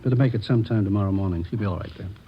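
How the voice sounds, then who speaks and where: Brisk, protective doctor played by a man. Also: fairly low pitch, close to an everyday voice. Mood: brisk, concerned. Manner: brisk, professional. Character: calm, protective male sanitarium doctor. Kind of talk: old-time radio crime drama acting. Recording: muffled old radio recording, faint hiss.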